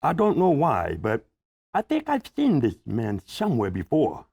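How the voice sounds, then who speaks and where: Husky, very animated puzzled doctor played by a man. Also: medium pitch, a little slow, no echo, exaggerated voice. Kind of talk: comedy script table read.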